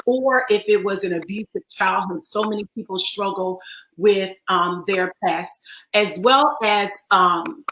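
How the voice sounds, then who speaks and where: Raspy, bright-voiced woman hosting in a roomy space. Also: mid-range voice, measured pace. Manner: warm greetings to viewers, laughs often. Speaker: online talk show host. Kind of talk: welcoming livestream chat.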